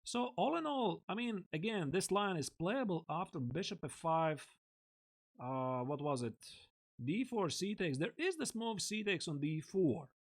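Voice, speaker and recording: A man's voice: high-pitched, lively intonation, unhurried. A man coaching chess on a live stream, thinking aloud and chatting casually with viewers in a close desk mic. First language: Latvian. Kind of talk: live-streamed chess lesson, explaining lines.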